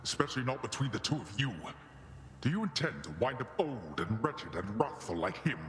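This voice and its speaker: A man's voice: mid-range voice, sing-song, fairly quick, fairly bright tone, echoey room, big-room sound, a little hiss or hum. An actor playing a stern, world-weary immortal father in a video game cutscene, formal and imperious, with archaic phrasing. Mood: stern anger.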